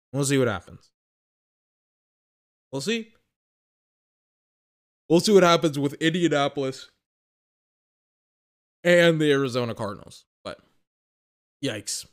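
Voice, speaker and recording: A man's voice: mid-range voice, a bit bright. A young man hosting a sports podcast, rambling and emphatic in casual commentary, restarting phrases and swearing, a little tired.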